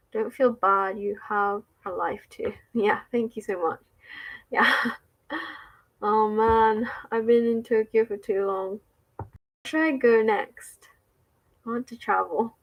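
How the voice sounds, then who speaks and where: A woman with an English accent talks loosely to her livestream viewers, reading out their comments and answering each one. She laughs often and fills her sentences with likes and restarts. Her pitch sits in an ordinary middle range. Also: poor audio. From England. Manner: chatty and giggly, with many likes and restarts.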